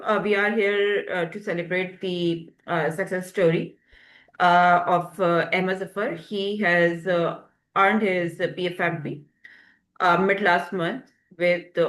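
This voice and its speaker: A woman's voice: on the low side, fairly bright tone. Hesitant woman host in an echoey room. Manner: hesitant, filler-heavy, polite. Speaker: webinar host. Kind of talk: hosting a webinar interview.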